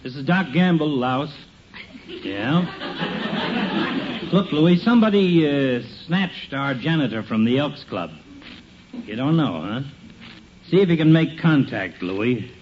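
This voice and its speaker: An American actor playing a dryly sarcastic small-town doctor with a deliberate, teasing manner in a 1950s radio comedy. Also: male voice, mid-range voice, animated. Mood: businesslike. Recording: noisy, muffled 1950 radio recording.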